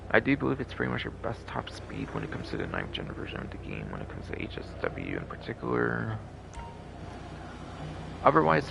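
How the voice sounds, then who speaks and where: A man's voice: lowish voice, vocal fry, noisy background. A man with a tired throat doing casual, rambling game livestream commentary, repeating himself, hedging and swearing casually.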